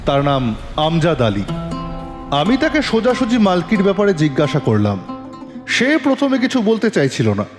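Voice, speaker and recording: A man's voice: high voice, wildly swooping pitch, moderate pace, pauses often. A man playing a polite, probing police detective who narrates his case in a dramatic audio story, with questioning delivery. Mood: suspenseful. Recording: long reverb, music bed.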